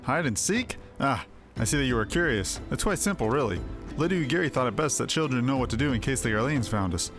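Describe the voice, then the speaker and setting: Music sits behind a man acting as a devoted, courteous male villager in a fantasy game. His pitch is medium, and he speaks formally and earnestly, with measured politeness. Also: expressive, close to an everyday voice. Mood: polite, gracious. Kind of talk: video game character dialogue.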